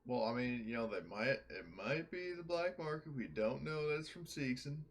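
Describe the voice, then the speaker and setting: A man's voice: mid-range voice, animated, normal speed. A man playing a tabletop roleplaying game, talking casually in and out of character.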